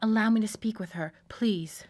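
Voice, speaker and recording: A woman acting a betrayed lab assistant back from death in a radio drama, deliberate, theatrical and coldly vengeful. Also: medium pitch, darkish tone, lightly acted. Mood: calm, persuasive.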